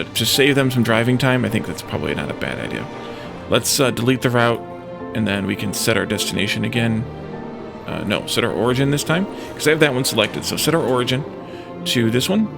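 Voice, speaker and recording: A man's voice: mid-range voice, vocal fry. A man giving casual let's play commentary, thinking aloud and musing to himself as he plays.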